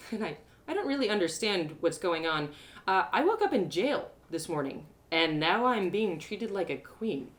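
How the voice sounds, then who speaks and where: A woman voicing an earnest, awkward and excitable young woman adventurer in an audio story. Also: medium pitch. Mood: awkward, confused. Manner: hesitant, rambling, emotional.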